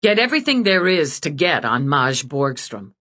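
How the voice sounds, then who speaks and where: A woman's voice: sits low, very animated, normal speed, a bit bright, heavily acted. A woman voicing a hard-driving female police lieutenant who gives clipped orders. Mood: commanding. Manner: clipped, commanding.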